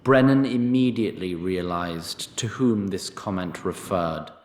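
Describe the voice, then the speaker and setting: A man narrating a scripted fantasy story in a measured, dryly wry manner. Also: sits low, reverberant.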